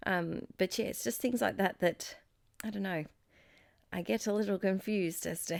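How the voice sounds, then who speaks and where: An Australian woman in her thirties, slightly croaky, chatting casually and rambling with fillers and giggles as she narrates her scrapbooking. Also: on the low side, no echo, studio quality. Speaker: scrapbooking YouTuber. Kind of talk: casual crafting voice-over. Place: home craft space.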